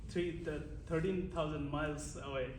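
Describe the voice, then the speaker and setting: A man with a slightly high voice speaks haltingly to a community crowd, restarting often. He sounds far from the mic in a very echoey room with constant noise. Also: fairly even intonation. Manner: hesitant, many restarts and "like" fillers. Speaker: guest speaker. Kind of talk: informal speech to a community gathering. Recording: echoey hall from far off, loud chatter.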